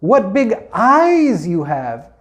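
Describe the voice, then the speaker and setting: Guy playing a sweet naive little fairy tale girl, raised pitch, trusting. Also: huge pitch swings, extremely slow, fairly bright tone, heavily acted. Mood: puzzled.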